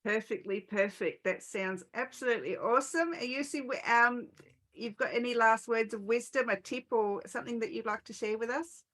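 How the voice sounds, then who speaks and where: A woman's voice: on the high side, normal speed, fairly bright tone. Woman hosting a conversational interview. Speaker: podcast host.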